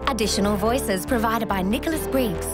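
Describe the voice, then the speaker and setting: A woman's voice: fairly high pitch, normal speed. A woman announcer reading scripted end credits for an audio drama. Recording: loud music behind.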